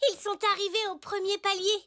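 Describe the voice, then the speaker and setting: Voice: very high-pitched, little pitch movement, fairly quick. An actor voicing an eager, polite young zebra child in a children's cartoon, earnest and childlike. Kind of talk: French children's cartoon dubbing. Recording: loud music behind.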